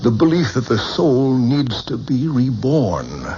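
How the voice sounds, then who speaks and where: An actor playing a patient, formal scholar of the occult who lectures in a radio drama, from an old broadcast. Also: male voice, mid-range voice, sing-song, slow, dark tone. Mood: lecturing. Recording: dull old radio broadcast with faint hiss.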